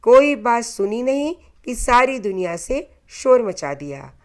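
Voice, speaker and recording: Slow-paced woman telling a moral tale. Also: on the high side, sing-song. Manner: measured delivery in short, deliberate phrases. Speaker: storyteller. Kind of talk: scripted moral folktale narration.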